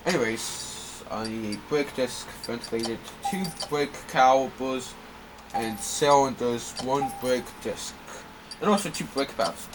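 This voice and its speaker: Slow-talking man narrating his gameplay. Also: medium pitch. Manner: rambling, thinking aloud while listing parts. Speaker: gamer. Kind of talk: casual gameplay commentary. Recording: noisy screen recording.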